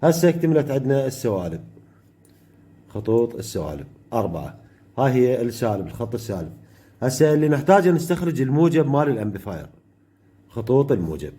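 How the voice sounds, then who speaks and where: A man with an Iraqi Arabic accent teaching an electronics workshop step by step, pausing often mid-phrase to find the next word. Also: medium pitch, very animated, warm tone. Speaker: electronics tutor.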